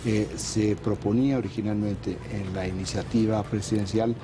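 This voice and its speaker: A man, a regular on a political panel show, presenting a news roundup in a measured, explanatory way with frequent hesitations. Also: on the low side, steady tone, background noise.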